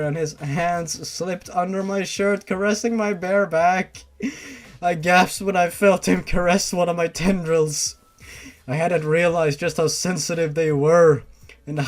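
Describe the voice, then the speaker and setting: A man giving a theatrical, exaggerated comedic reading of fan fiction as narrator, breaking in with his own asides. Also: high voice. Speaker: story reader.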